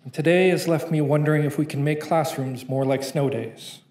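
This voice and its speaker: A man's voice: on the high side, steady tone, moderate pace, a little mellow, roomy sound. A young man performing a spoken-word poem at a conference, reading from notes with a rhythmic, playful delivery. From Canada. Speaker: poet. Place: conference room.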